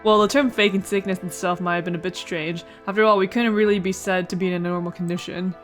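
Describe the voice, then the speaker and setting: A woman's voice: fairly low pitch, steady tone, fast. A woman hosting a casual let's play, reading a visual novel aloud with playful asides and stumbles over words. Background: music.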